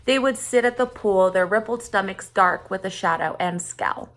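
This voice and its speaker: Woman teacher reading a kids story out loud, normal pitch, puts some expression on the key words. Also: moderate pace. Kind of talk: read-aloud narration of a children's short story.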